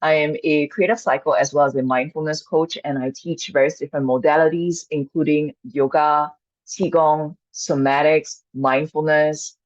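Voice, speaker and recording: A woman leads an online qigong workshop in a gentle, reassuring, inviting way. Her is Chinese. The video call audio sounds dull and dry, with no room around her voice. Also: low-pitched, fairly even intonation, moderate pace, no room sound. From Singapore. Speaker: mindfulness and qigong coach.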